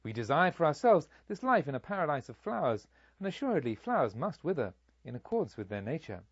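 A man's voice: on the high side, big pitch swings, quick pace, husky, no echo, heavily acted. Man playing hopeful male spirit, fervent, declamatory, audiobook dialogue, dry and slightly lo-fi. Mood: fervent relief. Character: weary male spirit revived into fervent hope.

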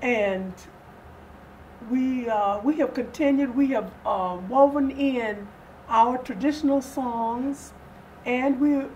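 Older lady being interviewed, takes her time in halting phrases, highish voice, bit of room noise. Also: darkish tone, lo-fi sound. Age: older, retired. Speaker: theater group co-founder, interview guest. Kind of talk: interview storytelling. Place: small furnished indoor sitting-room set. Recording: distant mic, noisy room ambience.

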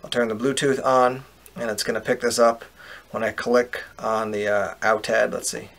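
A man giving a relaxed, step-by-step product demonstration outdoors, explaining as he goes with casual fillers. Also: medium pitch, normal speed, gravelly. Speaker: product reviewer. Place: outdoors on a paved patio.

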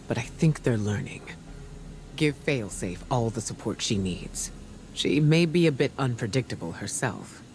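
An actor playing a grave, composed military spymaster giving a serious, measured briefing in a video game. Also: male voice, medium pitch, a little mellow, close to an everyday voice. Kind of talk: video game cutscene dialogue. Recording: game music behind.

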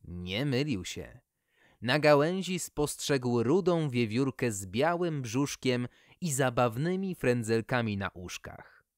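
A narrator reading a scripted children's story in an expressive, measured manner. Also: mid-range voice, no room sound, studio quality.

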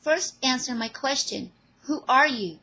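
A woman voicing an excitable, confident teenage girl in a fan audio drama, with gushing, emphatic delivery. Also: high-pitched, a little slow, husky, pitched above the performer's own voice, heavily acted. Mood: firm.

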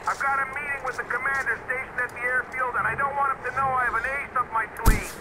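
An actor playing a wry, fast-talking male intelligence agent, sarcastic and clipped. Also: extremely high pitch, flat delivery, very noisy. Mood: sardonic. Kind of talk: video game dialogue. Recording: loud game sounds behind.

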